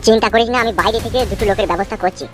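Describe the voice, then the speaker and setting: Woman voicing a high-pitched, scheming cartoon gang boss. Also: fairly even intonation, very fast, over music, close to an everyday voice. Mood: scheming, self-assured. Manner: bossy, menacing, lecturing. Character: scheming, boastful village gang boss, male. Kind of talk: comedy cartoon dialogue.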